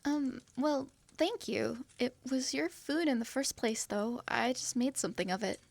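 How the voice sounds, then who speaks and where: A woman's voice: high voice, a little slow, gravelly, full character voice. A woman playing a timid stammering young girl. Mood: shy. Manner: stammering, nervous, apologetic. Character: timid, apologetic young girl with a pet cat. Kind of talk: fantasy audio roleplay.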